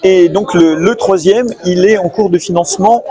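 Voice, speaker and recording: Hesitant, high-voiced male interviewer. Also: moderate pace, warm tone. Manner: hesitant, many restarts. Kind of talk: casual interview chat. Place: convention hall.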